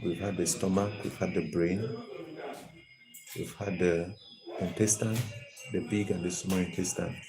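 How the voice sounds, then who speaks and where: Deep gravelly-voiced male stream host. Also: little pitch movement, unhurried, long pauses, music in the background, low-quality recording. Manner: calls on viewers by name and reads their comments. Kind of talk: interactive live lesson.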